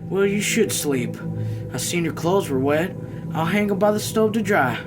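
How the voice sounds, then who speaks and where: A weary, anxious country mother of middle age, acted by a male reader, in a raised voice. She pleads earnestly in plain rural dialect, as in a novel read aloud, with music clearly playing behind. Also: high-pitched, expressive, normal speed, voice pushed up. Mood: worried, caring.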